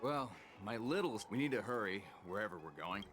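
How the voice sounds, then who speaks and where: A man voicing a hurried, reluctant businessman in a video game, brusque, wary and put-upon. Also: on the low side, animated, music in the background, natural voice. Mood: impatient.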